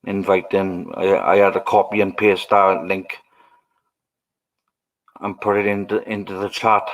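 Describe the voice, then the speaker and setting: A man's voice: deep voice, little pitch movement, unhurried, rough recording. A Welsh man hosting a casual livestream, rambling and musing aloud to his viewers. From Wales. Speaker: livestream host.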